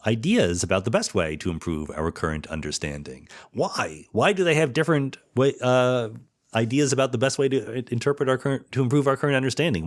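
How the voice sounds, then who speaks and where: A man giving an informal explanatory monologue as a podcast host, posing questions and answering them himself. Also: mid-range voice. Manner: explanatory, asks and answers own rhetorical questions. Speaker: podcast host, theoretical physicist.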